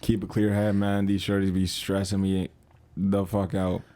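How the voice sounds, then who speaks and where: Young slangy man with a lowish voice. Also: monotone, dark tone. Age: early 20s. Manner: slangy, quick reactive interjections. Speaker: podcast co-host. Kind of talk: casual podcast chat.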